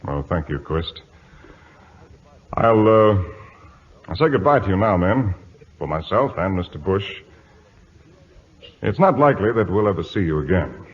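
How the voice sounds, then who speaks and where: A man's voice: low-pitched, big pitch swings, measured pace, long pauses. A man acting a resolute, composed British naval captain in a radio drama, formal and authoritative. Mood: grave, composed. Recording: dull lo-fi old radio recording.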